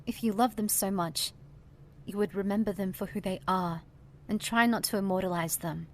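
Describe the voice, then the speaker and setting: A woman playing a compassionate, firm figure who counsels gently and with measured calm in an audio drama. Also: mid-range voice, a little hiss or hum, close to an everyday voice. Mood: gentle, firm. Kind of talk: scripted audio drama acting.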